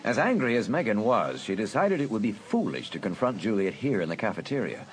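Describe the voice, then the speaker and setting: A man narrating a suspense audiobook in a dramatic, deliberate storytelling manner. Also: lowish voice, big pitch swings, slight background noise, poor audio.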